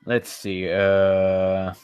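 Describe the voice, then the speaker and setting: A man's voice: low-pitched, monotone, extremely slow, a little mellow, clear, resonant tone, no room sound, studio quality, soft background music. A man on a casual livestream reading fan fiction aloud, breaking off into rambling, profane, exasperated commentary.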